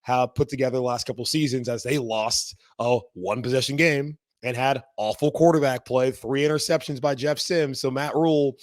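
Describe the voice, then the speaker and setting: A hoarse man hosting a sports podcast, talking in a casual, rambling way. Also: medium pitch, fairly even intonation, normal speed, hardly any pauses. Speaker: podcast host.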